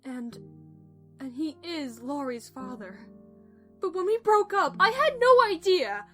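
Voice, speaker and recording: A woman's voice: high voice, sing-song, unhurried, a bit bright, faint music behind, full character voice. A woman playing an earnest, protective mother pony in an emotional audio drama, speaking confessionally. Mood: ashamed, confessing.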